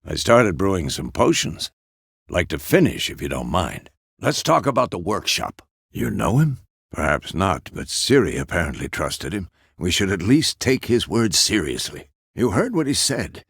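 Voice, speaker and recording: A man playing a gruff, fatherly old monster hunter in a fantasy video game, with a gravelly voice and a terse, measured, authoritative manner. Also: low-pitched, very animated, fairly bright tone, dry recording, clean studio sound, exaggerated voice.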